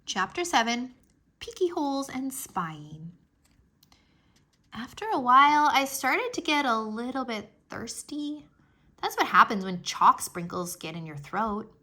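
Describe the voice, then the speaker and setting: Bright, very lively woman reading a storybook. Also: on the high side, unhurried. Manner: animated, childlike first-person storytelling. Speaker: read-aloud narrator for children. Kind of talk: expressive children's storybook narration.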